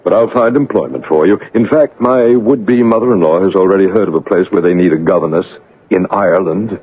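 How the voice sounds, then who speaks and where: An actor playing a brooding, commanding gentleman master and passionate suitor in an old-time radio drama, abrupt and ardent in manner. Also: low-pitched, slightly rough. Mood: guarded, teasing. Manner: teasing, abrupt, ardent, halting for effect. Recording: dull, band-limited old radio broadcast.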